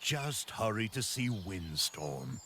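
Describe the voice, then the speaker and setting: An actor playing a calm male riding instructor in a game, patiently explaining steps to his student. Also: lowish voice, slow, noisy background. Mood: instructive. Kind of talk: game character dialogue.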